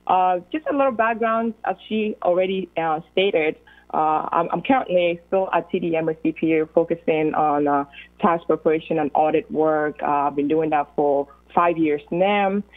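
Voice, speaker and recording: A woman's voice: low-pitched, normal speed. A young woman from Ghana with a Ghanaian accent, a guest on a call-in radio talk show, speaking earnestly with many fillers. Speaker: guest accountant. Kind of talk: phone-in radio interview. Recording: tinny phone line.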